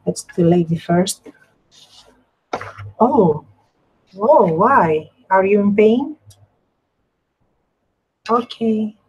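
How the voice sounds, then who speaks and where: Husky Greek-accented woman, casual crafting host. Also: medium pitch, animated, very slow, pauses often, lo-fi sound. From Greece. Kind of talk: casual live craft demonstration with chat.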